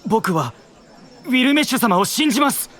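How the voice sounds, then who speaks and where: High-voiced, earnest boyish attendant. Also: male voice, very animated, normal speed, fairly bright tone, full character voice. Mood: fervent. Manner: polite, fervent, loyal. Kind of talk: anime audio drama acting.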